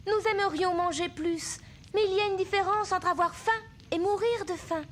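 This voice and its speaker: A woman playing a gentle, earnest young native princess in a French cartoon dub. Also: very high voice, steady tone, moderate pace, slight background noise. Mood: earnest pleading. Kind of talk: French animated film dub. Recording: faint music under the voice.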